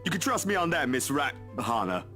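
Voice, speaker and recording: A man's voice: medium pitch, big pitch swings, fairly quick, over music, voice pushed up, lightly acted. A man voicing a friendly, humble, burly male photographer in a visual novel, easygoing and polite.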